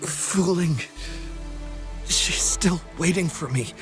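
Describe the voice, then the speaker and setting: An actor playing a wounded young explorer in a video game, weak, strained and halting but stubbornly devoted. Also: male voice, high-pitched. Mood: weary, urgent. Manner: halting, labored delivery. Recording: background music under the voice.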